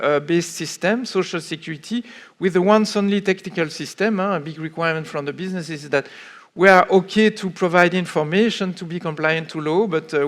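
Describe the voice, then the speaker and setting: A man speaking as a panelist at a conference, answering with many pauses, fillers and restarts. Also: a little high, a bit bright. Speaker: panelist from a public authority.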